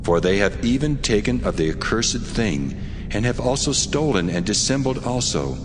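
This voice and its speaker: A man playing a stern, commanding deity in a dramatized audio Bible, speaking in a measured, authoritative, solemn way. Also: low voice. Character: the Lord God, commanding stern male deity. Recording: long reverberant hall effect, music behind.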